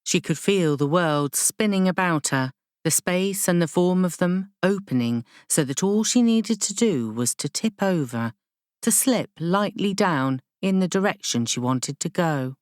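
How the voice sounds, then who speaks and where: Female audiobook narrator, low register, unhurried and measured literary delivery, very dry sound. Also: lively intonation, darkish tone, dry recording. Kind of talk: scripted literary fiction narration.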